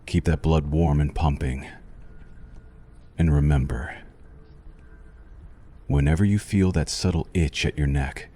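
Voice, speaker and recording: An abyssally deep, dark-toned male vampire doctor played by a man speaks very slowly, as in an ASMR roleplay. He is courtly and intimate, soothing with a menacing edge. Every phrase carries a possessive, suave hunger. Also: fairly even intonation. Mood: courtly, teasing.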